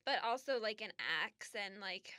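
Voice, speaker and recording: Casting: woman as chirpy, unfazed forest-survivalist daughter for radio comedy, bright and animated, dry close recording. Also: medium pitch, normal speed, no room sound, close to an everyday voice. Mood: matter-of-fact. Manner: chatty, matter-of-fact about grisly things. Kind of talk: improvised comedy radio sketch.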